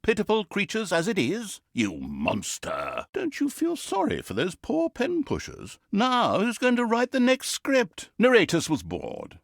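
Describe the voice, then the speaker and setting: A pompous, sardonic fantasy game narrator, smug and petty. His fairly high male voice swoops wildly up and down as he hams up the storytelling, all in a very dry, close studio sound. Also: no echo, full character voice. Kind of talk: theatrical comic storytelling narration.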